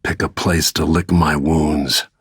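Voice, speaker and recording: A middle-aged assassin from a video game, grim and bent on revenge. The voice sits deep, with heavy gravel and a very raspy husk, recorded dry. Lines come out terse and brooding, always under control. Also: male voice, flat delivery, takes it slowly, a bit bright, no echo, heavily acted. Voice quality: gravelly, husky. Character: grim, vengeful middle-aged male assassin bodyguard and protective father.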